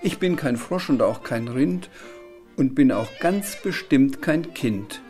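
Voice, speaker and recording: A man's voice: medium pitch, expressive, takes it slowly, a little mellow, soft background music. A man voicing a cheeky impish fantasy creature, declaiming rhymes in a sing-song manner. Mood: cheeky, proud.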